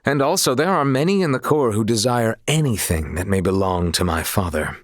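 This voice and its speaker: A man acts out an earnest young noble sailor from a fantasy video game. His lowish, husky voice moves through wide, expressive swings as he speaks in formal, courteous, archaic phrasing. The sound is close and dry. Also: fairly quick, no room sound, natural voice. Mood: candid, thoughtful.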